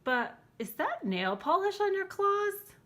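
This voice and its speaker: A woman's voice: very high-pitched, sing-song, unhurried, fairly bright tone, pitched above the performer's own voice, heavily acted. A woman voicing a picky, plucky child in a storybook, frank and demanding. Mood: apologetic but firm. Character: picky, plucky child wanting a scary monster.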